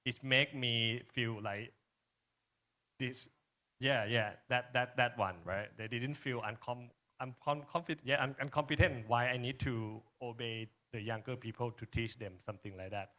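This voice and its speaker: Bright-voiced Thai-accented man presenting. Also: mid-range voice, steady tone. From Thailand. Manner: halting, frequent tag questions "right?". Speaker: conference speaker, social enterprise presenter. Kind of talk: informal presentation and panel answer.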